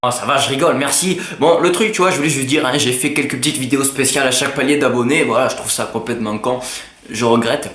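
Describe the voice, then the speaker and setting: A man's voice: medium pitch, fast, roomy sound. A teenage boy with a Southern French accent, chatting to camera in a casual, self-mocking vlog. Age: teens. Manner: joking, self-mocking, lively banter. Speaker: YouTuber.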